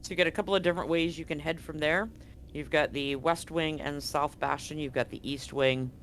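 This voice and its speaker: A man running a tabletop game as game master, describing scenes slowly and deliberately. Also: fairly high pitch, fairly even intonation, a bit bright, a little hiss or hum. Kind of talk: narrating a tabletop horror game.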